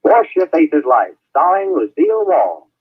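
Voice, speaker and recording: Swooping, husky old-time radio announcer, male. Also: on the high side, big pitch swings, moderate pace. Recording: muffled old radio recording with music.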